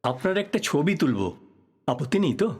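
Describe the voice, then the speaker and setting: Man playing a husky, polite reporter, animated. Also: on the high side, normal speed. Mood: friendly, coaxing. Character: polite, inquisitive male newspaper reporter, apologetic and soothing. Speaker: actor. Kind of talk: Bengali audio drama acting.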